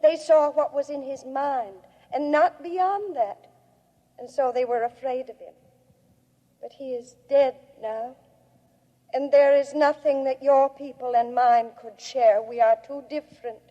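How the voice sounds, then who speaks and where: Sad proud alien lady, slow high voice, solemn old radio drama. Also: fairly even intonation, warm tone. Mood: grieving, solemn. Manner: slow, solemn, measured delivery. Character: grieving alien woman of a hidden species, tender, proud. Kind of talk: 1950s radio drama acting. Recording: muffled old radio recording, long cavernous reverb.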